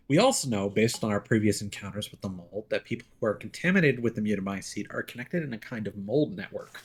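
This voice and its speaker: A man's voice: mid-range voice, husky. A man giving casual live gameplay commentary, musing aloud and reading in-game notes out as he goes. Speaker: video game streamer.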